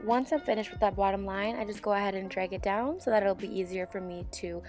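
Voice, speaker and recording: A young woman, hoarse and sick with a sore throat, calmly talking through a beauty tutorial as a voiceover. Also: medium pitch, fast talker, over music. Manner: calm step-by-step instruction. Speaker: beauty channel host. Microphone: voiceover mic.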